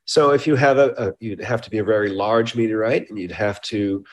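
A man speaking as an expert interviewee, explaining informally and haltingly, often repeating words and qualifying what he says. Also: lowish voice, fairly even intonation. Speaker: scientist being interviewed.

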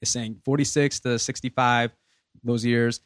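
A man in his thirties hosting a podcast, leading a casual group discussion in a relaxed, rambling way with many fillers. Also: mid-range voice, unhurried, dry recording, studio quality. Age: 30s. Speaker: podcast host.

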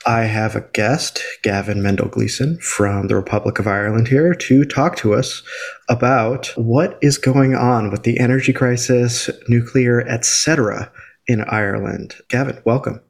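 A man hosting a relaxed podcast interview, with a noticeably gravelly, creaky edge to his voice. His pitch sits in an ordinary middle range, and his talk is loose and chatty. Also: normal speed. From Illinois, United States. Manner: laid-back, chatty, laughs easily. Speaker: podcast host. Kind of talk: casual interview chat.

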